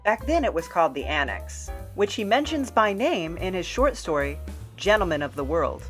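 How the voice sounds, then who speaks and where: A woman's voice: lowish voice, big pitch swings, normal speed, soft background music. A woman in her thirties hosting in a chatty, playful way with theatrical enthusiasm. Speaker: video host. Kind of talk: chatty demonstration and travel narration.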